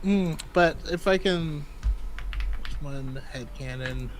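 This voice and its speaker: A man's voice: fairly high pitch, slow, background noise. A man co-hosting a casual livestream chat, opinionated, stammering through restarts and laughing a lot. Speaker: podcast co-host.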